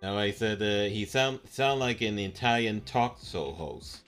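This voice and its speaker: A young man streaming a game live from his room, talking in halting bursts full of fillers and repeated words. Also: lowish voice, fairly bright tone, clear, resonant tone, soft background music. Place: home room, indoors.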